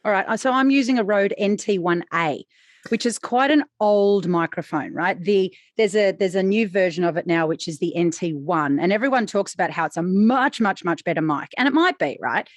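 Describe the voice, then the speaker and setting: A woman voice actor co-hosting a podcast in casual chat, with a deeper, slightly sibilant voice and an easygoing, chatty manner. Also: moderate pace, dry recording, studio quality. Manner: relaxed, chatty, frequent "right?" asides.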